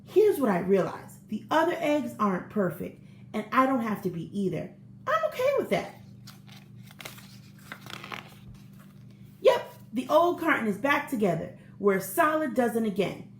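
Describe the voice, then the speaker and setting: An earnest, eager-to-please storybook egg, acted out by a woman in a high, very animated voice. The delivery is theatrical and expressive, as if telling its own tale to children. The room adds a noticeable echo. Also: normal speed. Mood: contented. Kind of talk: children's picture book read-aloud, first-person storytelling. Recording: roomy echo with faint background noise.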